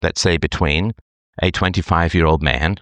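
A middle-aged man hosting a talk show, working through his argument in a loose, conversational monologue with frequent "right?" tags. His voice sits very low, and it is recorded dry and close with no room sound. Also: fairly even intonation, normal speed, fairly bright tone, smooth, no room sound. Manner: conversational, tag questions like "right?". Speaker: host reading a listener's letter. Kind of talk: monologue, reading and commenting.

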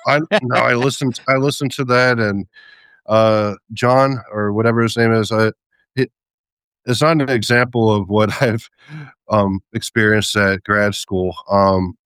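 A man in a casual conversation who speaks hesitantly, with many pauses, fillers and small laughs. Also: on the low side, fairly even intonation, fairly bright tone, clear, resonant tone, dry recording. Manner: hesitant, many ums and restarts, light laughs.

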